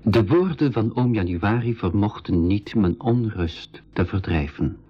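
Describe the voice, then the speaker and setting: An actor narrating a travel adventure in the first person, in a measured, literary radio-drama style. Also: male voice, on the low side, expressive, husky, highs cut off. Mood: sober, foreboding.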